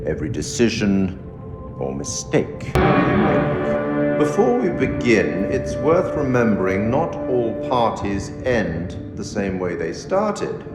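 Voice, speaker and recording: Slow, sinister gentleman keeper of dark tales. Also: lowish voice. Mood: wry, confiding. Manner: measured, ominous, wry, formal and courteous. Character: sinister, urbane male keeper of tales, coolly amused. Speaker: curator, narrator of a horror game. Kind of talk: theatrical scripted game monologue. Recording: echoey long reverb, music behind. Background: orchestral music.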